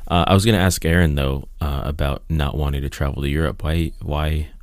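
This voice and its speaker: A man's voice: extremely low pitch, steady tone, fairly quick, no echo, studio quality. A man of Mexican heritage chatting on a podcast, playful and joking. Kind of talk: casual chat.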